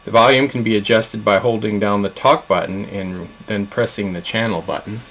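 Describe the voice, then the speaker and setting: Guy with a lowish voice walking thru how a gadget works, plain and explanatory. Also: steady tone, moderate pace, a bit bright, a little hiss or hum, poor audio. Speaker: product presenter. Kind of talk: instructional product demo narration.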